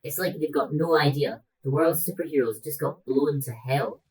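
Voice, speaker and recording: Woman playing a very deep-voiced, dry teammate. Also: moderate pace, darkish tone, slightly rough. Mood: dry, reasoning. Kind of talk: radio play acting. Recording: distant voice with music underneath.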